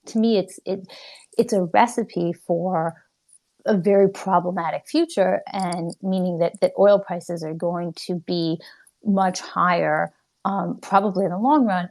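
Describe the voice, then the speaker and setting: Female guest commentator, lowish voice with vocal fry, hesitant. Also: steady tone, a little slow, darkish tone. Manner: trailing off, hesitant, laughing asides. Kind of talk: casual discussion. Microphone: remote audio call.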